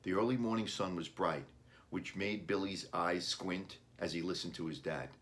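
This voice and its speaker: A man's voice: low voice, normal speed, fairly bright tone. A man reading a children's storybook aloud as its author, in scripted narration. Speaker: author reading own book.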